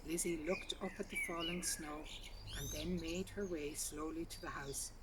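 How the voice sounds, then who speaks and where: A woman's voice: low-pitched, steady tone, normal speed, heavy background noise. A woman reading a literary short story aloud outdoors in a garden. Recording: distant mic, loud outdoor birdsong. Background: birdsong.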